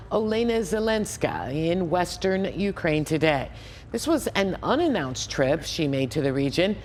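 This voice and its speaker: A woman's voice: lowish voice, slight background noise. A woman reading scripted TV news as an anchor.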